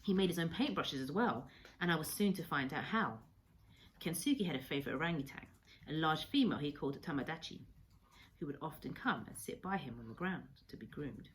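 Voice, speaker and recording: Female story reader, lower register, very animated intonation, deliberate emphatic delivery for children. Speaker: teacher reading a story to pupils. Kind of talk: expressive children's audiobook-style storytelling.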